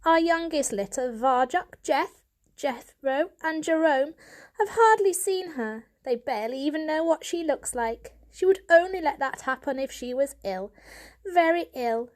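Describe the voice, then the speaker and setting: A woman's voice: high-pitched, lively intonation, unhurried, voice pushed up. Woman voicing a grave, worried old male cat. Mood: grave, foreboding. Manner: grave, pleading, insistent. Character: worried elderly male cat, patriarch of the family.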